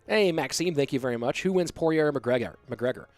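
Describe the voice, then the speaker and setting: A man's voice: medium pitch, brisk, soft background music. A young man with a stuffy nose from a cold, casually casting a match and chatting with viewers between plays. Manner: relaxed, chatty, answers chat questions between plays. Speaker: esports commentator. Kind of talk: solo play-by-play casting and reading chat.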